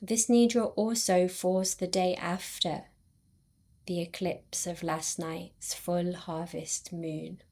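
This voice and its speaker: A woman guiding a yoga nidra relaxation in a soothing, unhurried way, with long pauses between short phrases. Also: on the low side, flat delivery, dark tone. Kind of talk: guided meditation.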